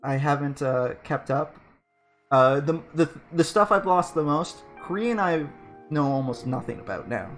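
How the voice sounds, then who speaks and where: A man's voice: fairly high pitch, expressive, unhurried, faint music behind. A man chatting casually on a podcast, telling a rambling story and laughing mid-sentence. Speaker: VTuber podcast co-host. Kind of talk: casual chat.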